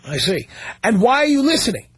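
A man with a strongly husky, breathy voice that sits higher than most men's. He hosts call-in radio from a studio mic, blunt and teasing with callers. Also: big pitch swings, fairly quick, a bit bright, no echo. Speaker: radio talk show host. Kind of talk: call-in talk radio banter. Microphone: studio microphone.

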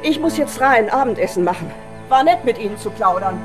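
A woman playing a polite, brisk, obliging householder in a radio drama. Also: a little high, lively intonation, close to an everyday voice. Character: polite, obliging woman visited at home by investigators. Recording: music under the voice.

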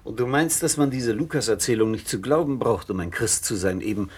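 An actor playing a concerned, thoughtful middle-aged father in a measured, deliberate radio-play style, with a husky voice. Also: medium pitch. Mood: concerned questioning. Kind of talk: 1960s German radio play acting.

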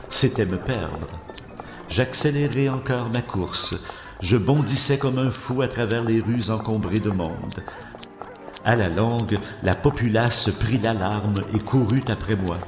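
A low-pitched male actor as a condemned murderer tells his confession like a gothic audiobook. He is haunted and feverish, with solemn, theatrical, very slow phrasing. The voice rings with long reverb. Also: natural voice. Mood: frantic. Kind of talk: dramatic literary audiobook narration. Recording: echoey hall-like reverb.

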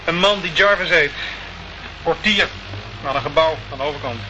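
A man's voice: fairly high pitch, normal speed, sharp tone. An actor playing a guarded male police sergeant in a Dutch radio play, dry, grudging and cautioning. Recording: noisy, muffled old radio recording.

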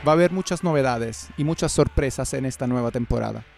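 A man's voice: mid-range voice, fast talker, creaky, loud music behind the voice. A middle-aged man presenting a radio programme as its host in a scripted style.

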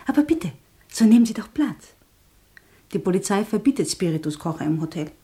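Mellow, confiding young variety dancer played by a woman. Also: medium pitch, sing-song, fairly quick, lightly acted. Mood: inviting, secretive. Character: Stasia, young variety dancer, secretive, chatty, dreaming of escape. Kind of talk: radio drama dialogue. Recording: long reverberant room sound.

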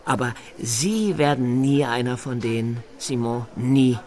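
A man's voice: mid-range voice, unhurried, darkish tone, lightly acted. A needling, menacing man played by an actor. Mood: bitter, threatening. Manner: formal address, pointed rhetorical questions, needling. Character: insistent, menacing snooping man with a grudge against big shots. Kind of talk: naturalistic German radio drama dialogue.

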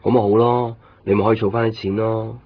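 A man's voice: on the low side, little pitch movement, fast talker, close to an everyday voice. A young man with a Hong Kong Cantonese accent acting an easygoing, caring copy-shop boyfriend in a naturalistic radio drama, chatting casually. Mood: hopeful. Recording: dull radio-drama recording, faint music.

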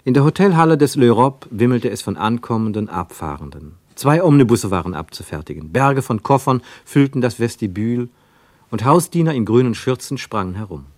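An actor playing the wry, observant narrator of a literary radio drama, with measured, pointed phrasing. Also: male voice, medium pitch, animated, a little mellow, no room sound, natural voice. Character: wry, observant male narrator of a travel story.

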